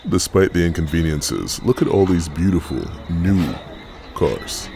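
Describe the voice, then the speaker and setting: A man narrating a travel documentary in measured, scripted voice-over. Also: low voice, lively intonation, vocal fry, a little mellow, faint music behind.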